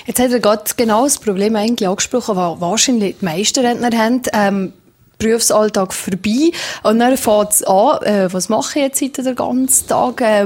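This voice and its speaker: A woman hosts a radio studio interview with a Swiss German accent, her voice a little higher than most. She teases her guest in a lively, playful way. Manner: teasing, lively, laughs along. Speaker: host.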